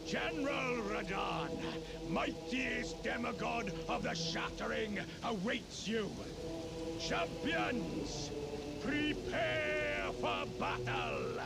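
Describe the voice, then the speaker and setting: An actor playing a grand, theatrical festival master in a fantasy game, proclaiming ceremoniously to warriors. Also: male voice, very high voice, wildly swooping pitch, extremely slow, gravelly, full character voice. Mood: rousing. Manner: declamatory, ceremonious. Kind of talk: video game herald's proclamation. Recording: loud music behind the voice.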